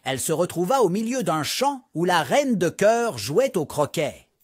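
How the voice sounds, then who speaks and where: High-pitched, animated male children's storyteller. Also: moderate pace, slightly rough, dry recording. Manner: expressive read-aloud delivery. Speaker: children's story channel host and narrator. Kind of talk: scripted storybook narration for young children.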